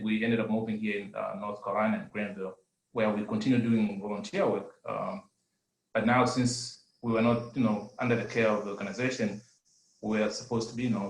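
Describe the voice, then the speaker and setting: Earnest Zambian man, echoey call. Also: lowish voice. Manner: earnest, measured, with hesitant fillers. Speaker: adult education graduate giving testimony. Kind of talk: personal testimony on a video call.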